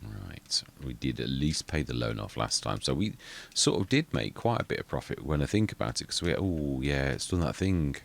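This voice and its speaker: A man's voice: very deep voice, animated, normal speed, vocal fry. A man, rambling through casual commentary on a farming game and often correcting himself mid-sentence. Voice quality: quiet voice. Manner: rambling, self-correcting, muttering asides. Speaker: gaming channel host.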